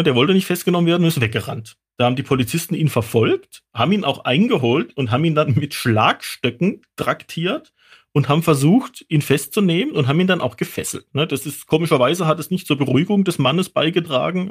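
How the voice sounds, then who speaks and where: Slightly husky, higher-pitched man. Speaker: toxicologist guest expert. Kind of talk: casual podcast storytelling.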